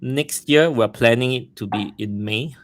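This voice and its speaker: A man's voice: mid-range voice, a little slow. A man from Singapore with a Singaporean accent, a podcast guest talking in a friendly way, repeating words as he thinks. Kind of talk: casual podcast interview.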